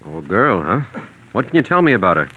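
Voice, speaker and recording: An actor playing a shrewd private detective in a 1940s radio crime drama, asking questions in a clipped, colloquial way. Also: male voice, on the low side, big pitch swings, brisk, slightly rough, narrow, tinny audio. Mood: probing. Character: shrewd, brisk male private detective for hire. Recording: vintage 1940s radio recording.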